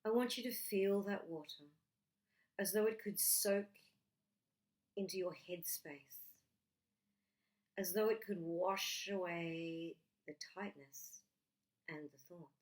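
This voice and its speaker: A woman leading a guided relaxation meditation in a gentle, soothing way, leaving long pauses between short phrases. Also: lowish voice, low-quality recording.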